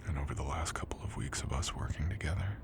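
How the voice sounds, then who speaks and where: Very deep, tender young college jock, acted by a man. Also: quick pace. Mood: hushed, intimate. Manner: soft, teasing, gently reassuring. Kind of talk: intimate boyfriend ASMR roleplay. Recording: clearly audible background noise.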